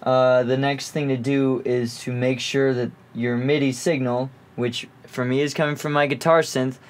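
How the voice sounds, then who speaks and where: Man, medium pitch, informal tutorial presenter, offhand explanations, thinking aloud. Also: little pitch movement, normal speed. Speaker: music gear tutorial presenter.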